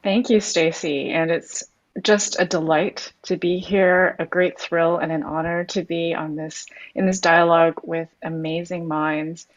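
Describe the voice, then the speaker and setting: A woman academic speaking as a panelist over a video call, halting and repeating words as she starts sentences. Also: fairly low pitch, steady tone, no echo, rough recording. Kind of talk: panel discussion.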